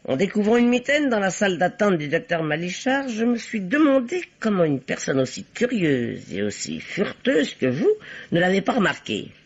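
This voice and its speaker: An actress playing a shrewd, stern spinster sleuth in a vintage French radio mystery, dryly ironic and deductive. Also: female voice, mid-range voice, sing-song, normal speed, slightly rough. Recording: muffled old radio drama recording.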